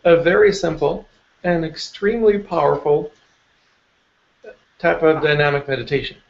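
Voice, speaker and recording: A man from eastern Ukraine addressing a meditation circle in a calm, measured way, pausing often with small fillers. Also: on the high side, gravelly, highs cut off. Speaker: meditation teacher. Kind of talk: slow spoken address to a meditation group.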